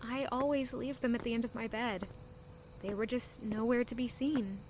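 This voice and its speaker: An actress playing a kind young maid in an audio drama, talking in an earnest, caring way. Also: female voice, fairly high pitch, normal speed, background noise, lightly acted. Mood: gentle. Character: young woman maid, kind and warm-hearted.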